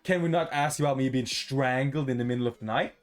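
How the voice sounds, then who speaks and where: A man reading a visual novel aloud for a gaming video in a casual, expressive, sweary way, adding his own playful reactions. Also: mid-range voice, fairly quick, faint music behind.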